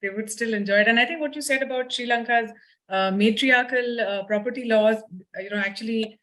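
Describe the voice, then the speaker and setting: A woman moderating a discussion, agreeing and adding her thoughts conversationally with small pauses. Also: fairly high pitch, fairly bright tone. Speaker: discussion host. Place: online discussion call.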